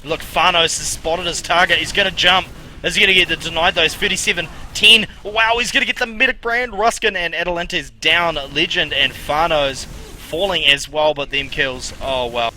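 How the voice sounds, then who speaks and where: A man with a New Zealand accent doing excitable, jokey play-by-play on an online team shooter match. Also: on the high side, normal speed, slight background noise. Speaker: esports co-caster.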